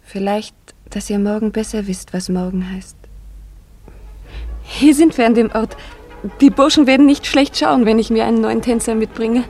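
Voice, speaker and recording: An actress playing a young, warm-hearted village girl with a secret, earnest and often hushed, in a German radio drama. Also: fairly high pitch, moderate pace, soft background music. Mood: tender, mysterious.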